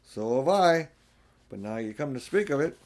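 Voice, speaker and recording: A man's voice: medium pitch, big pitch swings, normal speed, darkish tone, close to an everyday voice. A man voicing an earnest, cautious male beaver in a storybook, explaining things in an emphatic, folksy way. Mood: cautionary. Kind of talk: storybook character voice.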